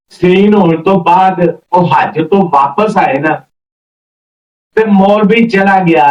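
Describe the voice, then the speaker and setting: High-pitched storyteller man, echoey. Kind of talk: informal spoken folk storytelling. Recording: roomy, distant, slightly dull mic.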